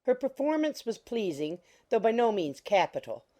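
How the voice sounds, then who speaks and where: A woman reading scripted literary narration for an audiobook in a measured, formal manner. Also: on the high side, big pitch swings, mellow.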